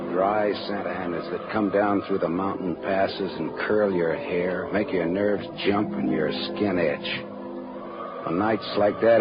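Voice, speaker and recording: Man playing a low-voiced, hard-boiled private eye. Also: lightly acted. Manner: sardonic, terse, world-weary delivery. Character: tough, cynical male private detective narrating his case. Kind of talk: 1940s radio drama, hard-boiled first-person narration. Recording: muffled old radio recording with loud music.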